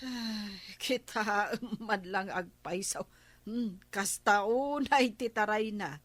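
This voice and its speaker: An actress with an Ilocano accent playing an unhurried village woman in a radio comedy drama. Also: mid-range voice, no echo. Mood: grumbling.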